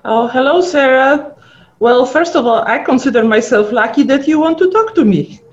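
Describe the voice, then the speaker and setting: A woman's voice: sits high, expressive, normal speed. Polish-accented woman telling stories. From Poland. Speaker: guest pathologist. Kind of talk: casual interview chat. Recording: dull lo-fi audio.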